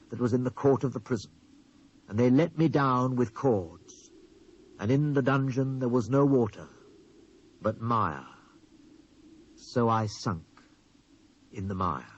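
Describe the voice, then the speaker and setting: A British man reading scripture as a dramatised narration, with a solemn, theatrical and deliberate delivery. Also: medium pitch. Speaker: Bible narrator. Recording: dull lo-fi old cassette transfer.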